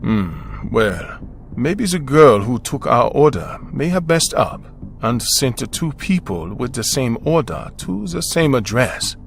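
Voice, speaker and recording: An actor playing a tired, protective widowed father who speaks in a calm, measured way. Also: mid-range voice, gravelly, heavily acted. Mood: puzzled. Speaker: narrator's father. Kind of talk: story narration dialogue.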